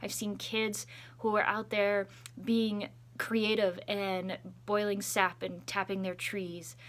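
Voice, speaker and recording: A woman's voice: mid-range voice, level tone, takes it slowly, a bit bright. A woman reading a children's picture book aloud expressively, adding chatty asides as a school storytime reader.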